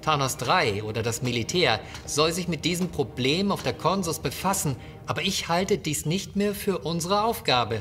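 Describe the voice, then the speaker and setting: A man's voice: fairly high pitch, lively intonation, moderate pace, few pauses, a bit bright. Man acting a pedantic starship scientist over music. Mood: grave, urgent. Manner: lecturing, precise, formal. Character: cautious, pedantic male ship's scientist, urging retreat. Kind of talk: sci-fi audio drama acting.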